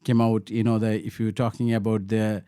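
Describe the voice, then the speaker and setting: A man from Bangladesh, a local councillor, answering interview questions in a halting way with many fillers and restarts. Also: on the low side, flat delivery, dark tone, smooth, dry recording, studio quality.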